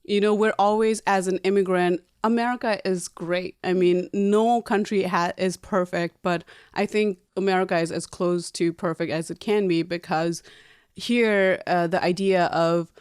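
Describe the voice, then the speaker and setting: Woman from Nepal, thirties, podcast co-host, lowish voice, smooth and clear, dry close sound, chatty with restarts. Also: fairly even intonation, moderate pace, a little mellow, dry recording. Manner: chatty, laughs easily, self-corrects and restarts. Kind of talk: casual conversational podcast chat.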